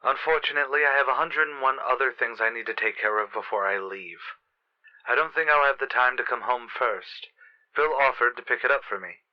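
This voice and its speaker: A man's voice: mid-range voice, brisk, dull audio, natural voice. An actor playing a stern, formal professional man in a vintage radio soap, with a clipped, authoritative manner. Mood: brisk, cool.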